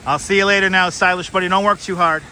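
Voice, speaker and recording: Male streamer, high bright voice, casual chat to his audience while walking outside. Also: steady tone, fast, slight background noise. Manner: chatty, answers viewers' chat by name. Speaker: livestream host. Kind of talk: casual live street commentary. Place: outdoors on a city street at night in snow. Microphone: wireless clip-on mic.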